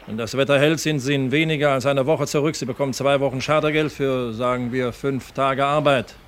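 An actor playing a reserved, secretive Dutch passenger in a radio crime drama, polite and terse. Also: male voice, mid-range voice, fairly even intonation, fairly quick, natural voice. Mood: guarded, terse. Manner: terse, guarded. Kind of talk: 1970s German radio crime drama dialogue. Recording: radio play with clear boat ambience noise. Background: boat and water sounds.

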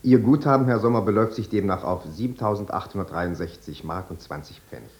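In an echoey room, a man plays a courteous bank clerk in an old German radio play. His lowish voice moves quickly, formal and businesslike. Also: darkish tone, natural voice. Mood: formal, polite. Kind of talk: 1950s German radio drama acting.

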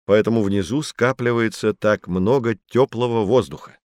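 A man's voice: on the low side, moderate pace, darkish tone, dry recording, studio quality, close to an everyday voice. A man playing a kindly, patient professor explaining things to children in a scripted educational audio play. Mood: encouraging, instructive. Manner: instructive, encouraging.

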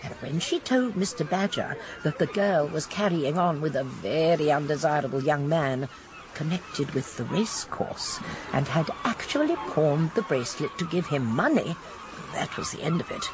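Elderly English spinster, shrewd, genteel, precise, expressive pitch, radio drama, faint background noise. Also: female voice, normal speed. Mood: shrewd, gossipy. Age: older. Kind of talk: British radio drama acting.

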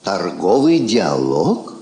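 An actor playing a haughty, ironic king in a classic radio drama, speaking in a pompous, theatrical, deliberate manner. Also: deep voice, wildly swooping pitch, vocal fry, dark tone, full character voice. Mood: suave irony. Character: haughty, ironic, courtly king receiving a diplomat. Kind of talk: classic Russian stage radio drama acting. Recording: echoey theatre-like hall, old recording.

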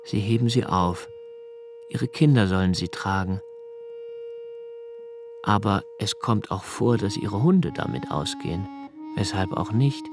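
An actor playing an anxious, brooding young writer in a literary radio drama monologue, intense and deliberate in delivery. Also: male voice, lowish voice, expressive. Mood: musing, wry. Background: music.

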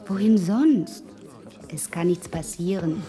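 A woman's voice: fairly low pitch, takes it slowly, warm tone. An actress playing a guarded, bossy woman in a radio play, speaking tersely and evasively. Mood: tense, curt. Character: guarded, bossy woman colleague, anxious and curt. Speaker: actor. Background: music.

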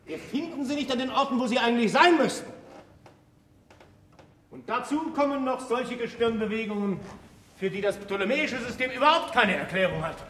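An earnest, eager astronomer in a radio play. His voice sits high for a man and he explains with courtly politeness. The recording is roomy, with faint noise. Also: animated, a little fast, fairly bright tone. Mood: earnest, explaining. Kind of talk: stage-like radio drama acting.

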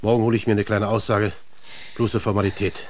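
Actor as considerate inspector, noisy recording. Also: male voice, on the low side, level tone, quick pace, close to an everyday voice. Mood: reassuring. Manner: reassuring, businesslike. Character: male police inspector, considerate, brisk and authoritative. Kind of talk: German radio drama acting.

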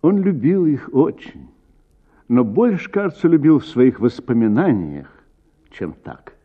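A man reading a literary short story as a radio narrator, with measured, dramatic phrasing and drawn-out words. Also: mid-range voice, very animated, phone-quality sound.

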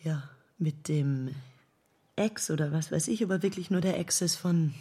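Defensive actress, lowish voice, husky, rattled, halting, curt, radio drama. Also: female voice, mellow. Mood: cornered, flustered. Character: rattled, defensive actress hiding a secret affair. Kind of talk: naturalistic radio drama dialogue.

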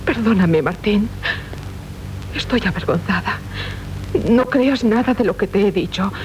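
A woman's voice: measured pace, a little mellow, close to an everyday voice. An actress playing a proud, impetuous young noblewoman of medieval Castile in theatrical radio drama, with a Castilian Spanish accent. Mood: contrite, softened. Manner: haughty, impetuous, melodramatic. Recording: noisy, rumbling 1970s radio recording.

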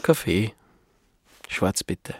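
An actor playing a down-to-earth, laconic Alpine nature lad in a radio play, speaking colloquial Austrian dialect. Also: male voice, extremely slow, darkish tone, no echo, studio quality. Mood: dry, relaxed.

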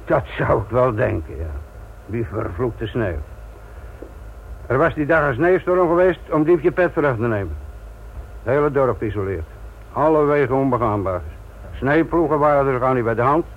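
Man playing a gruff, sardonic police instructor, muffled recording. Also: mid-range voice, lively intonation, moderate pace, close to an everyday voice. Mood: wry, narrating. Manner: sarcastic, authoritative, lecturing. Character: gruff, sardonic senior police detective instructing trainee officers. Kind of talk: radio play acting. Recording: muffled, noisy old radio play recording.

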